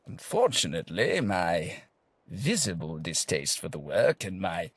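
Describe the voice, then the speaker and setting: An actor playing a fussy, polite gentleman tax collector in a video game, with a wordy, formal and genteel manner. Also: sits low, sing-song, measured pace, breathy edge, no echo, heavily acted. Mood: polite, weary. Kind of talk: video game character dialogue.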